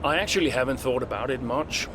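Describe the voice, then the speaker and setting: An actor playing a middle-aged, focused veteran racing driver near retirement, speaking in a measured, dry way in a video game. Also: male voice, mid-range voice, background noise, natural voice. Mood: reflective. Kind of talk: cinematic game dialogue, interview answers.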